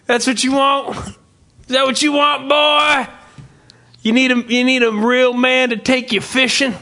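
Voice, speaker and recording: High pitched guy bantering, teasing and talking down as a bit, swears a lot. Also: steady tone, a little slow, pushed and bright. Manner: teasing, mock-condescending, profane. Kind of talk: casual comedic banter.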